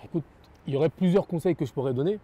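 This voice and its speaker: Young man, french, dark mellow tone, earnest, repeats himself, lots of fillers. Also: a little high, rapid-fire, a little hiss or hum. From France. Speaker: rap label founder. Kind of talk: interview answers.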